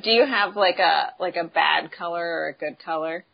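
Husky low woman, playful host. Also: normal speed, lo-fi sound. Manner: playful, jokey questions. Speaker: podcast host. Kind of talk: casual chat.

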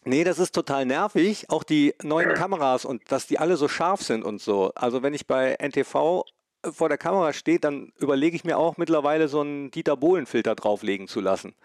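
A man's voice: on the high side, lively intonation, a little fast, no echo, clean studio sound. A man hosting a podcast from home over a phone link, chatty and self-deprecating, stuffy with a cold. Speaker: podcast host. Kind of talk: casual remote chat.

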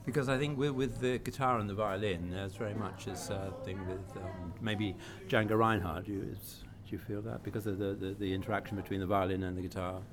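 A man's voice: lowish voice, steady tone, a little fast, few pauses. A man interviewing a band at a music venue, asking hesitant, rambling questions. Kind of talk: casual interview. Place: music venue after a set. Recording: busy crowd chatter behind.

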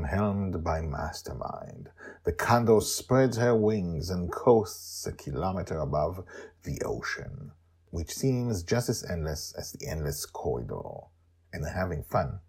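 A man's voice: low-pitched, a little mellow, husky. A man hosting and telling a story in a theatrical, emphatic way, with asides to his listeners. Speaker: storyteller and host.

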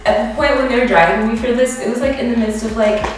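A woman's voice: a little high, fairly even intonation, quick pace, distant mic, slight background noise. A woman in her twenties from the DC area, a guest speaking candidly in casual conversation with lots of likes and restarts. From DMV area, US. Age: 20s. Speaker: podcast guest, model and DJ. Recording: roomy echo, from off-mic.

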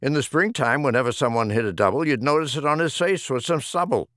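A man's voice: medium pitch, fast talker, a bit bright, dry recording, clean studio sound. A man reading humorous rhyming poems as an audiobook narrator, with a theatrical, rhythmic delivery. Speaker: audiobook reader.

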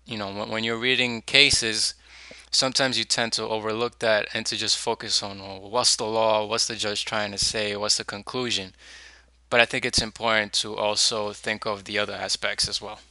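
A young man, a law student, introducing himself on a podcast in an earnest, measured and articulate way. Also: on the low side, little pitch movement, sharp tone, smooth, dry recording.

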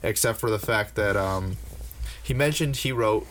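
A man's voice: on the low side, normal speed, a bit bright, a little hiss or hum. A man in his early twenties hosting a casual podcast, rambling with fillers and swearing. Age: early 20s. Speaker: podcast host.

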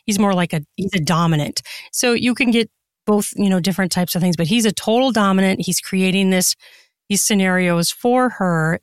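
A woman's voice: lowish voice, no room sound, studio quality. A woman speaking as a podcast guest in casual interview chat, chatty and open as she explains things. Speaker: podcast guest, erotica author and narrator.

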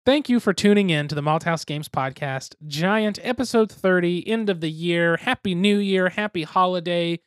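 A man's voice: sits high, moderate pace, hardly any pauses, clear, resonant tone, no echo, studio quality. A young man co-hosting a board game podcast, talking casually in a rambling, self-deprecating, jokey way. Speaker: podcast co-host.

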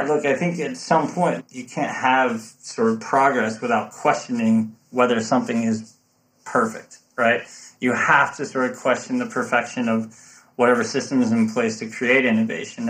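A man with a mid-range voice speaks as a guest in an interview. He explains ideas in an animated, conversational way, peppering them with "look" and "right?". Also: medium pitch, normal speed, rough recording. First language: English. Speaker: guest, technology consultant.

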